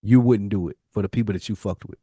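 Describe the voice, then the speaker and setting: A man's voice: lowish voice, steady tone, quick pace, warm tone, breathy edge, dry recording, studio quality. A male podcast co-host chatting and debating casually in a slangy, profane, insistent way.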